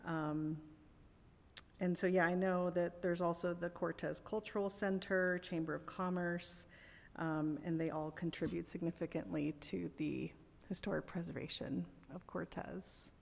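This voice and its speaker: A woman's voice: on the low side, steady tone, unhurried, vocal fry. A woman applying for a volunteer board, answering interview questions hesitantly with many ums and restarts. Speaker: board applicant. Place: city council chamber. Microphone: podium mic. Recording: echoey council chamber.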